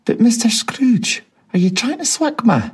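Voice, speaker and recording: A man voicing a stout old gentleman, startled and humble, speaking breathlessly in a Doric Scots accent. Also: on the high side, big pitch swings, a little slow, vocal fry, husky, voice pushed up, heavily acted. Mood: astonished. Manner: breathless, astonished.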